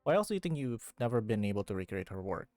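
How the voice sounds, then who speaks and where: A woman's voice: extremely low pitch, big pitch swings, quick pace, darkish tone, no echo. A woman streaming a video game, commenting and reading dialogue aloud over game music.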